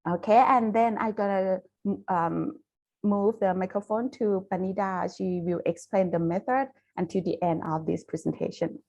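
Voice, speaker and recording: A Thai woman, whose first language is Thai, presents academic research in English with a clear Thai accent. She speaks carefully and haltingly, often pausing on fillers and restarting phrases. Her voice sits in an ordinary middle pitch and comes through a video call. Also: fairly even intonation, darkish tone, dull audio. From Thailand. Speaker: university lecturer presenting research.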